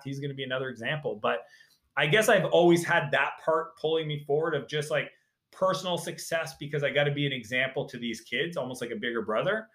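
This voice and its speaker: A man talking as a guest in a casual interview, emphatic and animated as he tells his story. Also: medium pitch, roomy sound.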